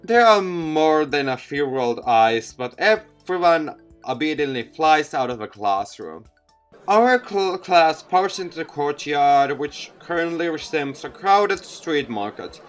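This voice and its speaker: A man reads a visual novel game aloud as a let's-player. His voice sits a little high and moves around with lively intonation. Light music plays underneath. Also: unhurried. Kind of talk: expressive read-aloud narration of a game.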